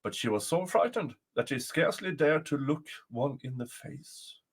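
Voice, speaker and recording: A man reading a folktale aloud as a storyteller, with deliberate, dramatic phrasing. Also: a little high, expressive, husky.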